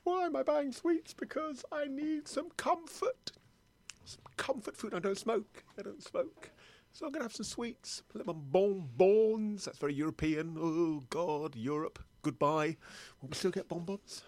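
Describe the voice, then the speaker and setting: A man's voice: high voice, a little slow, darkish tone, no echo. A man playing an anxious middle-aged British sweet-shop customer in improvised comedy, rambling, hesitant and stammering as he doubts himself. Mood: fretful, musing. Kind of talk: improvised comedy monologue and sketch.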